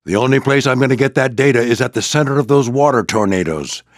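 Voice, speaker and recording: An older American man voicing a proud, gruff old military plane who mentors a squadron in a video game, with a gravelly voice and a commanding, folksy manner. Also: mid-range voice, quick pace, no echo, clean studio sound, close to an everyday voice. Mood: determined, urgent. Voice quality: gravelly, husky. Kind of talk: scripted video game character lines.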